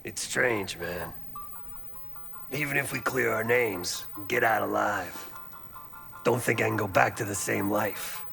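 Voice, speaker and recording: An actor playing a weary, loyal middle-aged covert operative in a video game, talking casually and gruffly with some swearing. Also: male voice, on the low side, slow pace, pauses often, bright, forceful tone, soft background music, full character voice. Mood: reflective, warm. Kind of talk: naturalistic video game dialogue.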